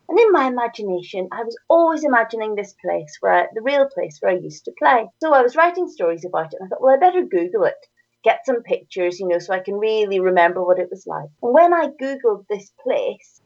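A woman's voice: mid-range voice, lo-fi sound. A woman with a Northern Irish accent, an author being interviewed, telling stories in a chatty way. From Northern Ireland. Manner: chatty storytelling. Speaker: author guest. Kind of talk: radio interview.

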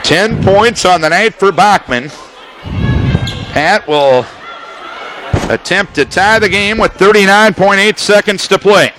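A man calling a basketball game as the radio play-by-play announcer, with rapid calls that climb into shouts on big plays. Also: high voice. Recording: live gym broadcast with crowd noise.

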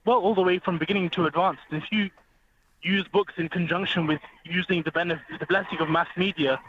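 A man's voice: high-pitched, steady tone, a little fast, fairly bright tone. A man speaking as a guest on a radio show, explaining at length in an earnest, fluent way. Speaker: phone-in guest. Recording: dull phone-line sound with faint hiss.

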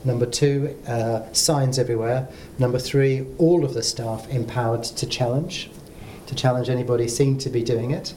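Professor, man, warm mid voice, orderly point-by-point delivery, faint low rumble. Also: fairly even intonation, moderate pace. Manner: organised, counts points off in order. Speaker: professor and medical college president. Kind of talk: panel discussion.

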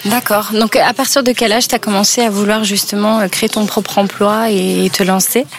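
A woman's voice: medium pitch, level tone, normal speed, vocal fry. A woman hosting a podcast, introducing and interviewing in an informal way.

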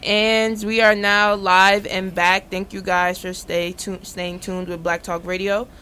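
A young woman hosting a casual talk radio show, easygoing and relaxed as she chats and introduces a guest. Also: mid-range voice, unhurried, clear, resonant tone. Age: young adult. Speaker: host. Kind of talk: casual chat and interview hosting.

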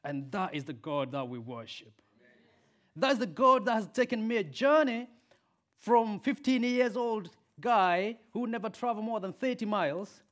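A man preaching a sermon and leading a prayer, a native Swahili speaker who swings from loud emphatic peaks to soft quiet lines. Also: sits high, expressive, normal speed.

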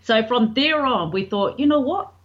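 A woman from New Zealand with a New Zealand accent, a singer chatting in an interview, filling pauses with um. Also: on the high side, expressive, crowd noise. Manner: chatty, fills with um, quotes others. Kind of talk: casual interview answers. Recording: dull lo-fi audio.

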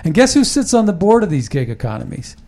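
Man, podcast host, rambling casual talk, emphatic, fairly high-pitched. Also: big pitch swings, quick pace, darkish tone. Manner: rambling, emphatic, with frequent restarts.

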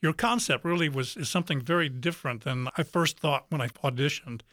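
A man's voice: on the high side, lively intonation, brisk, a bit bright, no echo, clean studio sound. A man, a stage actor, chatting conversationally as an interview guest.

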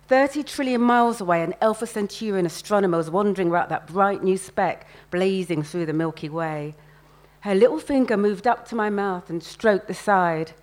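A woman giving an expressive first-person reading of a story at a live event. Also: medium pitch. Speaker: writer reading own fiction.

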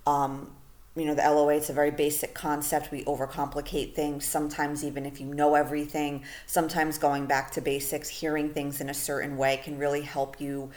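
A woman with a low voice hosts her own podcast alone. She talks in a loose, chatty way with plenty of fillers. Her voice is going a little hoarse as she is losing it. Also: level tone, a little fast, darkish tone. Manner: casual, chatty, lots of fillers like "you know" and "like". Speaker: podcast host. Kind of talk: solo podcast monologue.

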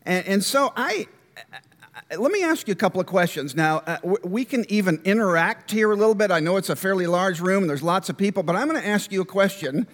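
An older man, a guest minister, giving a relaxed conversational lecture to a church class, often clearing his throat. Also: high voice, brisk, long reverb tail. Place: large church room.

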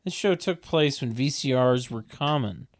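A man's voice: medium pitch, expressive, normal speed. A man reads out stage directions for a comedy table read. His mid-range voice moves around a lot. He sounds sardonic, tossing in dry comic asides. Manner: sardonic, mock-announcing.

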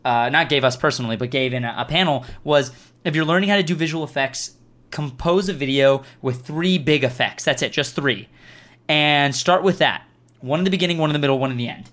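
A man's voice: on the high side, brisk, a bit bright. A man hosting a casual group question-and-answer chat, speaking in an emphatic, earnest way. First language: Farsi and English speaker. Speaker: host reading viewer questions. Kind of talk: casual group Q&A chat giving advice to beginner video makers.

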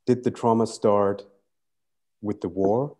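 A man in his thirties from Sri Lanka, a Tamil native speaker, speaking in measured, poetic phrases full of rhetorical questions. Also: on the low side, steady tone, mellow. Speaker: poet guest. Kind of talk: reflective literary conversation.

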